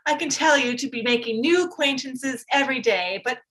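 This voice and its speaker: An actress playing a fussy, excitable middle-aged mother in a gushing, pleading manner, in a theatrical period staged reading. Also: sits high, brisk, poor audio. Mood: doting, excited.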